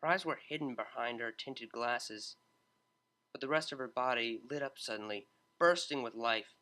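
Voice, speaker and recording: Male audiobook narrator, measured and steady, bright voice, mid pitch.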